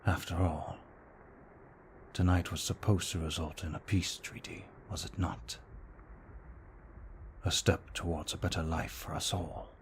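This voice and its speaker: A man playing a courteous, protective young vampire aristocrat in an ASMR audio roleplay, speaking formally in a hushed, measured way. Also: deep voice, level tone, a little hiss or hum. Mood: regretful, earnest. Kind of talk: intimate ASMR roleplay acting.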